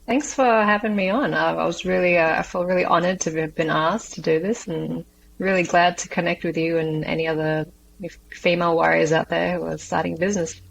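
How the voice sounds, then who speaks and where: A woman with an Australian accent answering interview questions, thoughtful, with many pauses and "um"s. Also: fairly low pitch, fairly even intonation, gravelly, slight background noise, poor audio. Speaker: guest, business founder.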